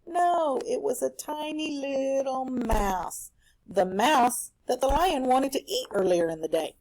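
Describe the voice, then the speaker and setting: A woman teaching a recorded reading lesson for children at home, explaining a story in an animated, explanatory way. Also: sits high, slow, low-quality recording.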